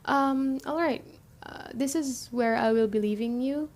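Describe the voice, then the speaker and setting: A woman playing a young zombie-apocalypse camp chief in a roleplay audio drama, explaining things conversationally to the listener with hesitant pauses. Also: sits high, darkish tone. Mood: businesslike, helpful.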